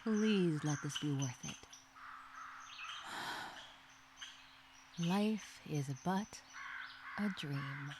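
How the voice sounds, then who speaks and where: A woman playing an anxious stranded castaway, speaking a dramatic, halting, repetitive audio journal with expressive sighs. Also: low voice, noisy background. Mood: exhausted, resigned. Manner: expressive, halting, sighing, repeats herself. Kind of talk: dramatic first-person audio journal monologue.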